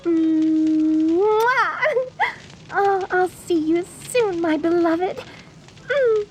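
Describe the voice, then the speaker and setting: A woman playing a gleeful, lovestruck young mortician bride in a dark comedy audio roleplay, girlish and cooing with a sweetly sinister, theatrical manner. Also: extremely high pitch, lively intonation, very slow, soft background music, full character voice, poor audio.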